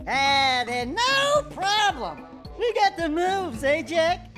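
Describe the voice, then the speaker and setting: A man voicing a wisecracking small male furry animal sidekick in a video game cutscene, talking in a fast, nasal, joking way. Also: very high voice, very animated, over music, heavily acted. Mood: cocky.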